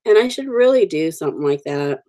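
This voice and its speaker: A woman's voice: deep voice, lively intonation, a little fast, mellow. A woman with the sniffles, chatting casually with viewers while coloring stamped cards on a livestream, greeting people by name. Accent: Oklahoma. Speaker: livestream host.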